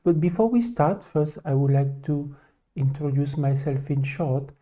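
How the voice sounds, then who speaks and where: He is a French spiritual teacher welcoming viewers to a live stream. His voice is strikingly dark and soft-edged, carried by halting, simply phrased English with a French accent. The audio is somewhat muffled and lo-fi. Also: on the high side. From France. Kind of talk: informal introduction to a live question session.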